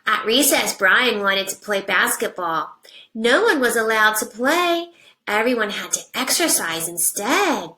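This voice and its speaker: A woman teacher reading a picture book aloud to young children, with simple deliberate phrasing and hushed asides. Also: a little high, lively intonation, rough recording. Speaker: first grade teacher.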